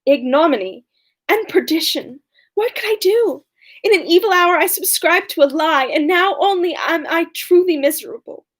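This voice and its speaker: A woman reading aloud as a young condemned servant woman, tearful and pious, in an earnest, slow, mournful way. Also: very high-pitched, expressive. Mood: pleading.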